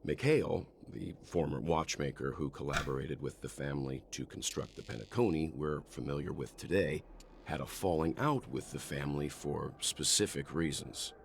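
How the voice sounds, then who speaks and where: A reserved, grave adventurer from a video game. His voice is extremely low with a gravelly edge. He talks in measured, serious, reassuring lines over faint noisy ambience. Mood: analytical. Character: calm, grave, reserved young male adventurer companion.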